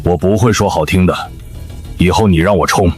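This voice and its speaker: An actor playing a brash, loyal, foul-mouthed fighter with a coarse, blustering, blunt manner in an animated web drama. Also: male voice, low voice, moderate pace, breathy edge. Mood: grateful, earnest. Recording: music behind the voice.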